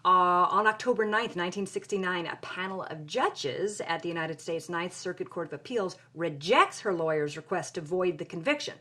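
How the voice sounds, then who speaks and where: An actress playing a dramatic, emphatic granddaughter investigating a family mystery in a scripted audio drama. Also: female voice, on the low side, moderate pace, hardly any pauses, sharp tone, distant mic. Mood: ominous. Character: intense granddaughter investigating her film-star grandmother's mysterious death.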